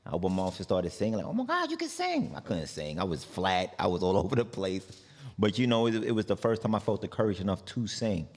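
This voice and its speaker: A man with a very deep voice and a New York accent chats through his story in a relaxed, rambling way. He leans on fillers and trails off between thoughts. The sound carries a long hall reverb. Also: quick pace. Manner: relaxed, rambling, lots of "like" and "you know". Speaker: guest, singer and artist. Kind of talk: casual interview chat.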